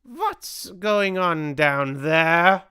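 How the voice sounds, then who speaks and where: A storyteller voicing a tyrannical female head witch, screeching commands in furious, drawn-out words. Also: medium pitch, big pitch swings, fairly bright tone, voice pushed up, full character voice. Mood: scornful, angry.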